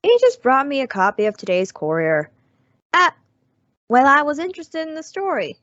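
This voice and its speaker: A polite, earnest young clerk, played by a woman with a high voice. It is old-time radio drama delivery on a dull, dry recording. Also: big pitch swings, normal speed, fairly bright tone, dry recording. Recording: dull lo-fi audio.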